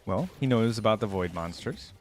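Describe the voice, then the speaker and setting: A man's voice: lowish voice, animated, moderate pace, heavy background noise. A man giving casual, easygoing commentary as a reaction video host, musing aloud.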